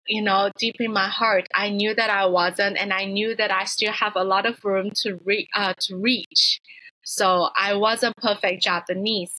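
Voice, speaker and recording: A woman from Taiwan, a guest in a casual interview, talking in an earnest, reflective way and sometimes pausing to find her words. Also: medium pitch, sharp tone, no echo, low-quality recording.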